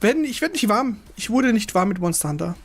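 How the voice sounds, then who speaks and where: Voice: mid-range voice, sing-song, quick pace, slight background noise. A young adult streamer giving casual, colloquial, sceptical live reaction commentary. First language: German. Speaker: livestream reactor.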